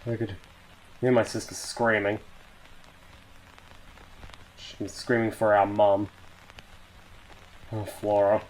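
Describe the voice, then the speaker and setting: A man's voice: lowish voice, flat delivery, takes it slowly, long pauses, rough recording. A man doing a casual video reaction, reading captions aloud and muttering sweary asides. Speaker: YouTube reaction host.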